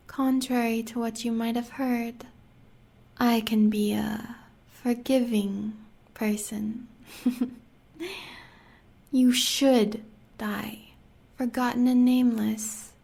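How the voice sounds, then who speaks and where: A sadistic, seductive huntress with an American accent and a fairly high voice. She taunts with extremely slow, savored phrases that often sink toward a whisper, in the manner of an audio roleplay villainess. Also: flat delivery, gravelly, mellow, full character voice. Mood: mock tender.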